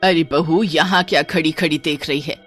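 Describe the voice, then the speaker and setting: A woman's voice: deep voice, steady tone, normal speed, husky, soft background music. A woman voicing a bossy middle-aged mother-in-law in a horror story, scolding and giving orders. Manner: scolding, commanding.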